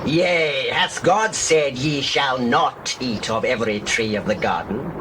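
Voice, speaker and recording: Male actor as sly serpent, slow insinuating tempter, mid-pitched, scripture audio drama, noticeable background noise. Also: lightly acted. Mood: sly, tempting.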